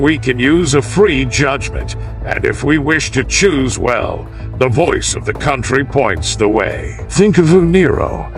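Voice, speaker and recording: A man with a mid-pitched voice narrates an audiobook of ancient history. His delivery is measured and formal, with the weight of oratory in the phrasing. Music plays behind his reading. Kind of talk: scripted narration of a classical history.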